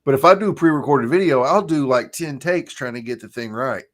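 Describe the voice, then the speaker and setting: A man with a croaky voice, a marketing coach chatting as a guest in a relaxed, easygoing way with frequent laughs. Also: medium pitch, fairly quick. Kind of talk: casual chat.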